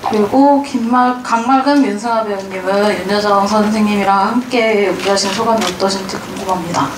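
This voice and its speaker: A woman reporter asks a question at a press conference, polite and a little halting. Her pitch is ordinary. Echo and room noise surround her distant voice. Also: fairly even intonation. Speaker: entertainment reporter. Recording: echoey hall, distant mic, noisy room.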